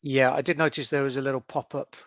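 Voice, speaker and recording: A man's voice: medium pitch, little pitch movement, dry recording. Hesitant man introducing a speaker. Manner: hesitant, halting with fillers. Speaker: workshop chair. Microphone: video call. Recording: muffled dry video call audio.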